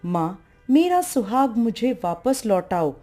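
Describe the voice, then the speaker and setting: Stubborn, grieving folk-tale wife played by a woman storyteller, soft-toned, slowly pleading with devotion. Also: a little high, big pitch swings, faint music behind. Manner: pleading, insistent.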